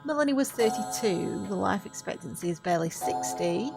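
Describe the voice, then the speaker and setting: An actress playing a centuries-old witch in an audio drama, gracious and quietly sorrowful, with formal, measured diction. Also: mid-range voice, lively intonation, creaky, poor audio. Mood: matter-of-fact. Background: music.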